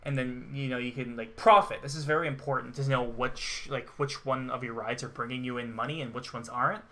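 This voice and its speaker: A man's voice: mid-range voice, steady tone, brisk, fairly bright tone. A young adult man giving casual gameplay commentary as co-host of a gaming show.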